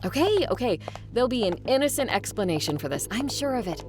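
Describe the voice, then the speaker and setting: An actress playing a level-headed, reassuring young sister who takes charge in a modern audio drama. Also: female voice, a little high, very animated, fast, music in the background. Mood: calmly reassuring.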